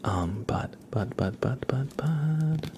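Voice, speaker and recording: A soft-spoken man whispering gently and politely in a casual ASMR livestream, chatting with viewers and reading cards. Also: medium pitch, lively intonation, slow, a little hiss or hum.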